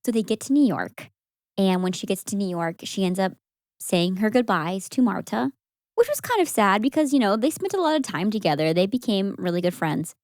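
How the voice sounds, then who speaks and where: A young woman co-hosting a podcast, telling a story in a casual, animated way with frequent laughs. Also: mid-range voice, fairly quick, no echo, clean studio sound. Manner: animated, laughs often, acts out quoted voices.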